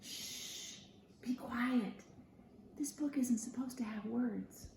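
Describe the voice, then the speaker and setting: A woman's voice: a little high, slow pace. Woman acting out exasperated, fussy storybook animal, echoey. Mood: hushed annoyance. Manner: ranting, emphatic, repetitive. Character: exasperated fussy male storybook animal named Rupert, scolding. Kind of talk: children's picture book read aloud. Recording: roomy echo with clear background noise.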